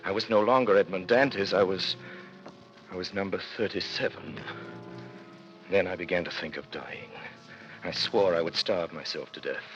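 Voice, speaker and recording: A man's voice: low voice, moderate pace, long pauses, a bit bright, highs cut off, soft background music. An actor playing an anguished prisoner who recounts his years in a dungeon, grave and intense, in classic radio drama style. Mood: grave, despairing. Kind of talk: classic radio drama acting, dramatic first-person narration. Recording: noisy old radio recording.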